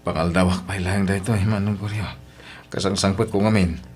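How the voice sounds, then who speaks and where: A man's voice: sits low, fairly even intonation, brisk, natural voice. An actor playing a blunt, hardworking family man in a radio drama, with an Ilocano accent and an insistent, plain-spoken manner. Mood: blunt, nagging. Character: hardworking father and son, blunt with his relatives.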